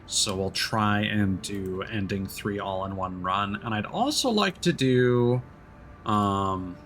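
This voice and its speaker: Low voiced guy chatting casually on a game stream, thinking out loud, music playing behind him.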